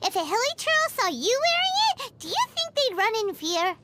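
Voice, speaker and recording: A woman voicing a tiny, bubbly, childlike female fairy companion in a video game, squeaky and excitable, dramatic in manner. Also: normal speed, heavy background noise. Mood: bright, excited. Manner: speaks of self in third person. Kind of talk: video game character dialogue.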